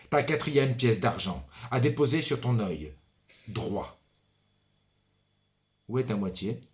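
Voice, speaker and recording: Male stage actor as brooding ferryman son, lowish pitch, very unhurried, theatrical monologue, dramatic, incantatory. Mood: urgent, reproachful. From France. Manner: deliberate, dramatic, with incantatory repetitions. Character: brooding son as ferryman of the dead, addressing his father. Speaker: stage performer.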